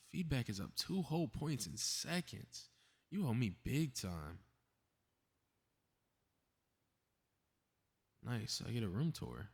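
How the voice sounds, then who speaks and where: Cocky, meddling superhero, male actor, low and raspy, grumbling sarcastically to himself in an audio roleplay. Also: exaggerated voice. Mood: smug, petty. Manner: sarcastic, exasperated muttering, sighing. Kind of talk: scripted ASMR audio roleplay monologue.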